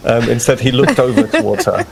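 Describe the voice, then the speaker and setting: A man's voice: mid-range voice, huge pitch swings, a little fast, vocal fry. A man playing a tabletop game, explaining his character's actions in a hesitant, thoughtful way. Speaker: player. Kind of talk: casual tabletop play.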